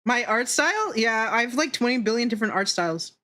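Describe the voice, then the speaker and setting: A woman's voice: a little high, animated, fast, pushed and bright. A woman livestreamer chatting casually with her viewers in a playful, teasing, giggly way, swearing freely. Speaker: streamer, VTuber. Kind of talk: casual livestream chat with viewers while drawing.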